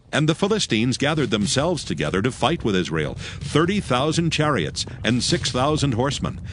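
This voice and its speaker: A man narrating scripture in a solemn, scripted, dramatic storytelling style. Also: mid-range voice. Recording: music under the narration.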